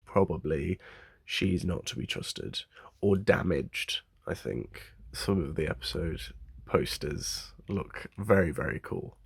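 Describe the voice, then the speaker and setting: A man with a gravelly, husky voice giving a casual interview as an audio drama cast member. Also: low voice, lively intonation, slow, no echo. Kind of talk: casual interview talk to camera.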